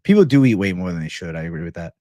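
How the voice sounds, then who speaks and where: A man's voice: sits low, sing-song, very fast, warm tone, dry recording, clean studio sound. A man hosting a casual livestream, chatting and answering viewers in slangy, profane, bro-heavy banter. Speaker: livestream host.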